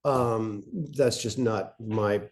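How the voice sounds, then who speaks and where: A man presenting in an informal workshop, talking in a conversational, somewhat halting way. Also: on the low side, steady tone.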